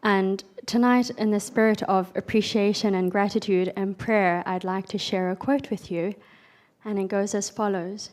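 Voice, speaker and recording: A woman raised in South Africa, a guest violinist giving brief formal remarks to an audience at a dinner. She speaks in a measured, gracious way. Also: mid-range voice, steady tone, darkish tone.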